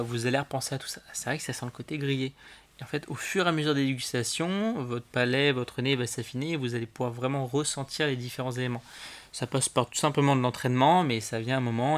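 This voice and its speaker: A young man, a sommelier, explaining wine tasting in an enthusiastic, teaching manner during an interview. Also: medium pitch. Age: young adult. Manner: enthusiastic and didactic, often speeds up. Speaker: sommelier guest.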